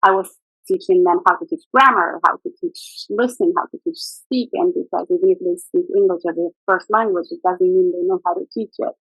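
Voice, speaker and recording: A woman's voice: lowish voice, brisk, no echo, soft background music, rough recording. A middle-aged woman from Morocco, a native Arabic speaker, speaking earnestly as a guest teacher on a video call webinar, with frequent fillers and restarts. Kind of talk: webinar interview.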